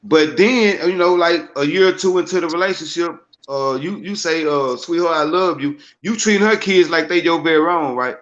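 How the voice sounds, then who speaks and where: Casual stream host: a man who restarts and repeats himself, slangy, higher pitch, muffled lo-fi sound. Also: normal speed, few pauses, a bit bright. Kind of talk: casual live-stream chat.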